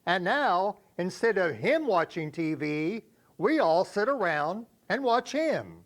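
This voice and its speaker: A man reciting poems for a reader's theater in a measured, expressive storytelling way. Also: sits high. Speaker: poetry reader.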